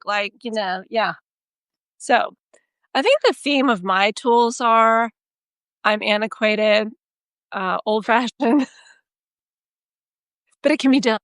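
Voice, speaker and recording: A woman with a Texas accent co-hosting a casual chat, animated and self-mocking as she tells stories. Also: fairly high pitch, takes it slowly, pauses often, clear, resonant tone, no echo. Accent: Texan. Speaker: podcast co-host.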